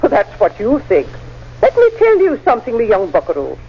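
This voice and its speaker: A woman's voice: on the high side, huge pitch swings, brisk, husky, heavily acted. An actress playing a threatening, scornful ranch woman in old-time radio style, curt and commanding. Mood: menacing. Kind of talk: 1940s radio acting. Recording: dull old radio drama recording.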